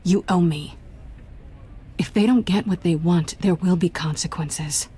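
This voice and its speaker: A woman playing a sly, flirtatious, self-assured thief in a video game, with a teasing, sultry, deliberate delivery. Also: lowish voice, dark tone, slightly rough. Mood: pressing, cool.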